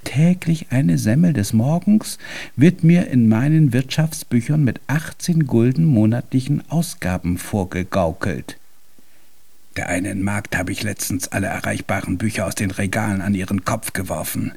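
An actor in a German radio drama playing an irascible, haughty, hard-of-hearing old composer with a husky voice and pompous, ornate speech. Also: male voice, on the low side, normal speed, mellow. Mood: scornful, ranting.

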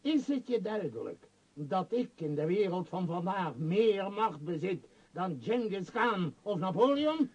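A grandiose, power-hungry business magnate in a radio drama. His voice sits fairly high and sweeps widely up and down, with a husky grain. He speaks in emphatic, declamatory phrases. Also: very animated, unhurried, darkish tone, full character voice, low-quality recording. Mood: grandiose, pleading. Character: power-hungry, grandiose tycoon obsessed with his world empire. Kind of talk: Dutch radio play acting.

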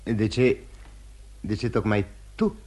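An actor playing a dissolute, self-loathing husband in radio theatre, halting and repeating words theatrically. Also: male voice, fairly low pitch, steady tone, darkish tone, natural voice. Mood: bitter, self-loathing. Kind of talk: mid-20th-century radio theatre acting. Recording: old radio drama, music behind.

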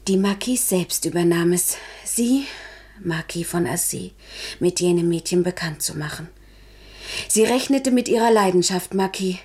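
An actress in a 1970s German radio play playing a sorrowful, dignified courtesan who speaks formally and solemnly, sometimes near a whisper. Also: female voice, medium pitch, a little mellow, husky. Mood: grave, controlled. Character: sorrowful, dignified courtesan confessing to her noble lover.

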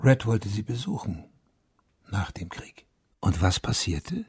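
An actor playing a haunted, self-accusing Vietnam War veteran who narrates a radio drama in a sober, confessional, terse way. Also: male voice, on the low side, very animated, slow, a little mellow, husky, no room sound, exaggerated voice. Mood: grim, pointed. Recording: studio radio play with music underneath.

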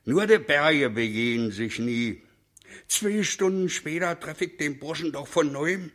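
A fairly high male voice for a cocky street fellow in a radio comedy. He is brash, coarse and slangy, tripping over the starts of his sentences. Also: lively intonation, a bit bright. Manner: brash, colloquial, halting on "I". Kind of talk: comic radio drama monologue.

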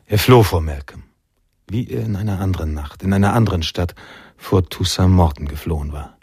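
A brooding narrator of a radio crime drama. His deep voice is creaky and dry, telling the story in a measured, grave way. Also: darkish tone, no echo, full character voice. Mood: somber. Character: brooding male film-noir narrator recalling his friend's escape.

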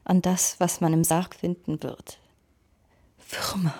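An actress playing a dying, remorseful, God-fearing woman in a radio drama, weak and solemn, her breathy voice often falling to a whisper. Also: fairly low pitch, flat delivery, slow pace, mellow. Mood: remorseful, fearful.

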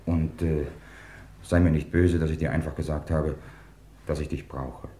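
A man's voice: very deep voice, steady tone, normal speed, dark tone, slight background noise. An actor playing an accused man in a radio crime drama, urgent, sardonic and exasperated. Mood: pleading.

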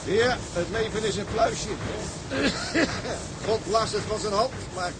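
A man's voice: sits high, slow. An actor playing a cynical, philosophizing seaman in a radio drama, sardonic and declamatory. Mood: sardonic, musing. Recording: loud ship engine noise.

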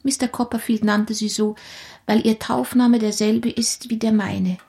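Frail young widow, highish voice, trembly and breathy, timid, radio drama. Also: female voice, little pitch movement, a little slow, mellow. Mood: meek. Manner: trembling, breathy, often whispering. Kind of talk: German radio play acting.

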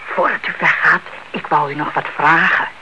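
An actress playing an anxious, inquisitive elderly aunt with a suspicious streak in a radio drama. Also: female voice, deep voice, fairly even intonation, moderate pace, close to an everyday voice. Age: older. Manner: fretful, inquisitive. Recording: dull, noisy old radio play recording.

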